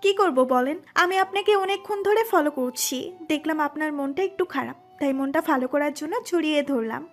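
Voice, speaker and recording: Woman playing high, pert schoolgirl. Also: moderate pace, few pauses. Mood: coy, forward. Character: bold, restless, cheeky ninth-grade schoolgirl. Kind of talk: audio story acting. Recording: music under the voice.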